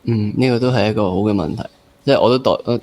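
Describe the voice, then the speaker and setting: A man's voice: lowish voice, very fast, a little mellow. A young man with a Hong Kong Cantonese accent hosting a conversational interview, mixing English words into his speech. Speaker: podcast host.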